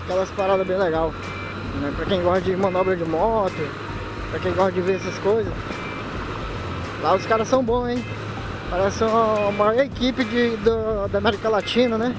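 A man's voice: high-pitched, fairly even intonation, normal speed, background noise. Laid-back motovlogger man riding. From Manaus, Brazil. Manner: chatty, laid-back slang, frequent laughs. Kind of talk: casual motorcycle vlog commentary. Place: riding a motorcycle on city streets outdoors.

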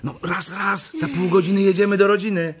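A man's voice: high-pitched, steady tone, fairly quick, slight background noise, natural voice. An actor playing a playful, teasing, busy father who jokes in rhymes, in a children's audio drama. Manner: jokey, rhyming wordplay.

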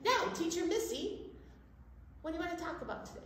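A woman chatting in an animated way as a children's storytime presenter, speaking directly to young listeners. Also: extremely high pitch, brisk. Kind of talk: casual children's storytime chat. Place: library children's room. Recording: very echoey room, distant mic, faint noise.

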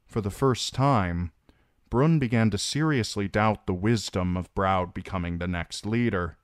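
A man reading a novel chapter aloud in a measured, steady storytelling voice. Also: fairly low pitch, no echo, studio quality. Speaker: audiobook reader.

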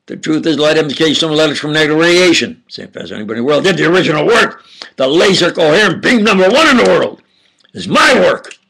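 An older man with an Upstate New York and New Jersey accent, giving a halting, insistent monologue lecture with sudden loud emphasis. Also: a little high, big pitch swings, creaky, husky, rough recording. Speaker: self-styled preacher lecturing on camera.

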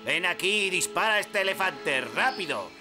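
A man voicing a gruff, bossy poacher villain who barks impatient orders in a cartoon dub. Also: high-pitched, big pitch swings, moderate pace. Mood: angry. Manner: barking orders. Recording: loud music behind the voice.

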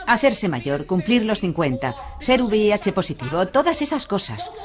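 An actress with a Castilian Spanish accent dubbing a blunt, insistent addiction counsellor in a documentary. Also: female voice, medium pitch, sing-song, normal speed, close to an everyday voice. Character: blunt, no-nonsense woman counselling recovering addicts. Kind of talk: dubbed documentary conversation. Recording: busy room chatter behind.

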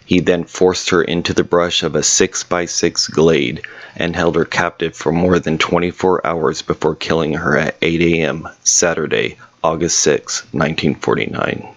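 A man giving a measured, serious scripted voice-over narration about a local ghost story. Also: extremely low pitch, fairly even intonation, no echo. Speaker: paranormal investigator narrator.

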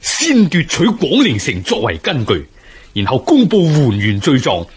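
An actor with a Hong Kong Cantonese accent playing an urgent, impassioned rebel conspirator in a radio drama, with a husky voice. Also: male voice, fairly high pitch, wildly swooping pitch, a little slow, exaggerated voice. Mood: grave, rousing.

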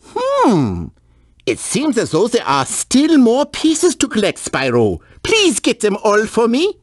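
Wildly animated, flustered scientist. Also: female voice, fairly high pitch, takes it slowly, no room sound, studio quality, heavily acted. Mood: pleased. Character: excitable, flustered scientist with a wrecked lab. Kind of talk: animated video game character dialogue.

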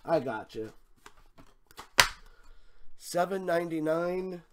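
A hoarse man, losing his voice and clearing his throat, hosting a card break and calling out cards one by one. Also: fairly high pitch, animated, background noise. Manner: slow, clipped call-outs of cards and prices. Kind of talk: live card break commentary. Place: room with a desk and a banner behind.

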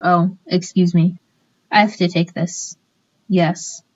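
A woman's voice: fairly low pitch, fairly even intonation, slow pace, a little mellow, faint music behind. A woman playing a busy, polite young interviewer in a radio play. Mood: polite, distracted. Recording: slightly muffled.

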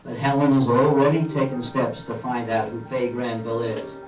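A man's voice: medium pitch, flat delivery, normal speed, music in the background, lightly acted. An older man playing a dramatic announcer of an old-time radio soap opera. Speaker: amateur radio play announcer. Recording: echoey room from far off.

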